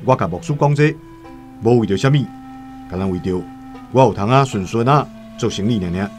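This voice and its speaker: An actor playing a shrewd, self-serving Han trader, calculating and wary of trouble, with a Taiwanese accent in a radio drama. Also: male voice, mid-range voice, fairly quick, lightly acted. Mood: sly, self-justifying. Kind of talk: radio drama dialogue and first-person storytelling. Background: music.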